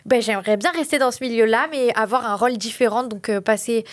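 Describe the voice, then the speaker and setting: A woman in her late twenties, a reality TV contestant, chatting in an interview and often pausing with fillers. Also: fairly high pitch, no room sound. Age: late 20s. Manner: chatty, frequent hesitations. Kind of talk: casual interview.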